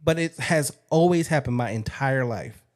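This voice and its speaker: A man's voice: medium pitch, moderate pace. Frank, easygoing male podcast host. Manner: frank, candid, swears casually. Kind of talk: casual interview chat.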